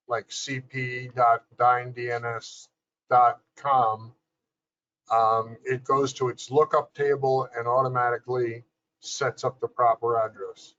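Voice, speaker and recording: Man, unhurried and bright-toned, patient tech explainer over a dull, lo-fi call line. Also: mid-range voice. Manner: measured, explanatory, with many fillers. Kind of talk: detailed technical explanation on a video call. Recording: dull lo-fi video call audio.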